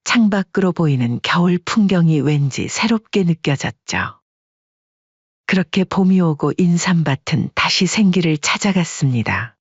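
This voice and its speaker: A narrator reading a story in an even, measured way as an audiobook. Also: medium pitch, husky, no echo, highs cut off.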